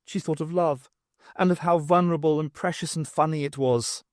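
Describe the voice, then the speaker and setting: A man's voice: on the high side, steady tone, normal speed, darkish tone, dry recording, clean studio sound. A man reading scripted dramatic narration for an audiobook.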